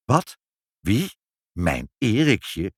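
A man's voice: medium pitch, wildly swooping pitch, measured pace, no echo, clean studio sound. A man voicing an indignant, doting lady who protests emphatically in a children's story. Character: indignant, doting mother, a proper lady in denial.

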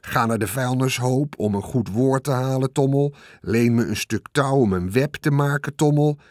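A man's voice: mid-range voice, moderate pace, a little mellow, no room sound, studio quality, lightly acted. A man voicing a lazy, sarcastic male rat who grumbles and complains in a sulky, mocking manner.

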